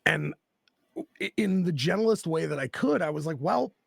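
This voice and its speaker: A man with a very gravelly, husky voice, speaking at a slightly raised pitch. He talks bluntly and with passion, swearing freely. The sound is close and dry. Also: lively intonation, normal speed, dry recording. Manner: blunt and profane, impassioned. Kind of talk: casual political chat.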